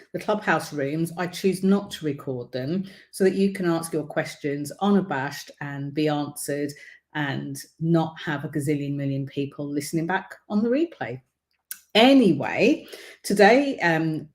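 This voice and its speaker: A woman hosting a livestream as a visibility coach, speaking casually to viewers with a croaky throat and many ums. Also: sits low, a little mellow. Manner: chatty, many ums, encouraging. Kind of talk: casual livestream talk to camera.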